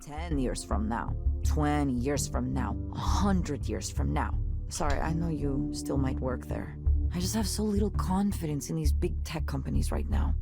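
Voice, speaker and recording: A young woman musician in a visual novel, passionate about tech and wary of authority. Her voice sits fairly low and moves around a lot, earnest with a wry edge. Music plays clearly behind her. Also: big pitch swings, normal speed, heavily acted. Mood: wry, worried. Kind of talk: visual novel game voice acting, conversational. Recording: game audio with music underneath.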